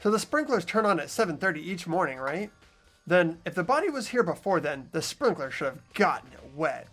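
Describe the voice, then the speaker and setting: A man's voice: a little high, sing-song, a little fast, a bit bright, soft background music. A man voicing an earnest, puzzled teenage boy who thinks aloud while investigating in a visual novel game. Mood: thoughtful. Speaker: amateur voice actor in a fan playthrough. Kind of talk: fan-dubbed visual novel acting and narration.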